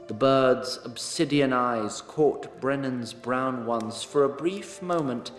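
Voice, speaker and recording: A man narrating a scripted fantasy story in a measured, dramatic manner with an occasional wry touch. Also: mid-range voice, reverberant, in a large hall, soft background music.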